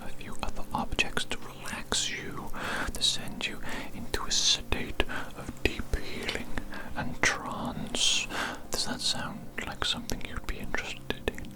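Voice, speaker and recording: An ASMR performer voicing an oddly formal alien doctor posing as a human, in a breathy whisper with polite, soothing, repetitive patter. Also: lightly acted. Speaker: ASMR performer playing an audiologist. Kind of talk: ASMR medical roleplay.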